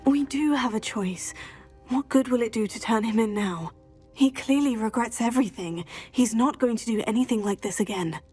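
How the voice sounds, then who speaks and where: An earnest young student witch speaks calmly and reassuringly in a video game. Her voice sits fairly high, with soft music beneath. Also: moderate pace, slightly rough.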